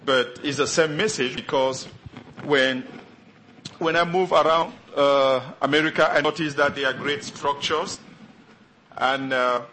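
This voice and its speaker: Bright-voiced visiting preacher, French native. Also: male voice, mid-range voice, fairly even intonation, slight background noise, poor audio. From Africa. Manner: quick delivery with many fillers and restarts. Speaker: guest pastor. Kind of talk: sermon.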